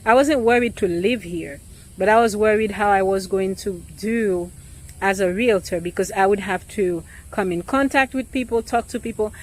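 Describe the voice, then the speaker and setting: A woman realtor with an accent, talking casually and thoughtfully to the camera, pausing often with ums and restarts. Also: medium pitch, low-quality recording.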